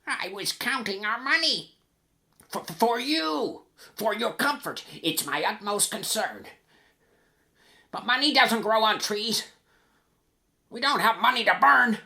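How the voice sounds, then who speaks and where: A man voicing a fretful, pleading old miser in a storybook verse tale, with drawn-out imploring delivery. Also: high voice, lively intonation, vocal fry, pushed and bright, pitched above the performer's own voice, heavily acted. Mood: defensive.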